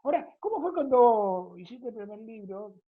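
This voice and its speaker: Husky Argentine woman interviewer, phone-like sound. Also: fairly high pitch, big pitch swings, normal speed. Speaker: interviewer, radio programme host. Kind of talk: conversational interviewing with commentary. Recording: thin phone-like audio.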